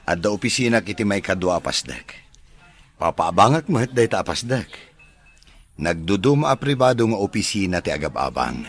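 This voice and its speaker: A man's voice: low voice, sing-song, normal speed, long pauses, slightly rough, exaggerated voice. A man playing an amiable, smitten bachelor businessman in an Ilocano radio drama, polite and chuckling. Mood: friendly, flirtatious.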